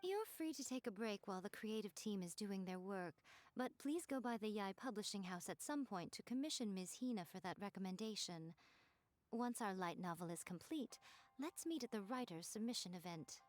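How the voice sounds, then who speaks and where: A woman's voice: medium pitch, animated, normal speed, natural voice. A woman voicing a poised, confident and sly priestess who runs a publisher, speaking in a knowing, teasing way in a video game. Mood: composed, scheming.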